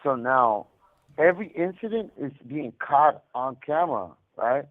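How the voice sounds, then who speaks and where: A man's voice: mid-range voice, lively intonation, unhurried, dry recording. A man calling from prison over a phone line, talking casually and repetitively about himself, with a lot of conversational filler. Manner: conversational, repetitive, peppered with "man" and "you know". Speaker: caller, prison inmate telling his story. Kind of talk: casual, unscripted personal storytelling. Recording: tinny, band-limited prison phone line.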